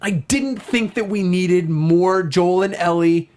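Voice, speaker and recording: A man's voice: sits high, slow pace, darkish tone. A man hosting a talk show, chatting casually and emphatically about a video game with plenty of enthusiasm.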